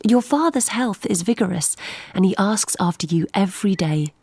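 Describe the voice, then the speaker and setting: An actress playing a tender, yearning young gentlewoman, reading her letter softly and gently in an audio drama. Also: medium pitch, expressive, moderate pace, dry recording. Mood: affectionate. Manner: soft, gentle, breathy at times.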